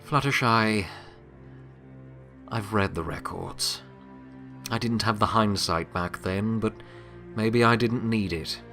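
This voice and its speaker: A man acts out a pony who has become Death, weary and wry in an audio drama. His voice sits low and he confides haltingly, full of reflection and remorse. Music plays clearly behind him. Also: pushed and bright, exaggerated voice. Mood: reflective, confessional. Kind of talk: dramatic audio fiction monologue.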